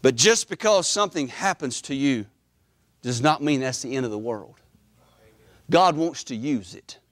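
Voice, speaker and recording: A middle-aged man preaching an emphatic, animated church sermon, repeating phrases and pausing for weight. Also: mid-range voice, no echo. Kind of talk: Baptist sermon. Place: church sanctuary, preaching from the platform.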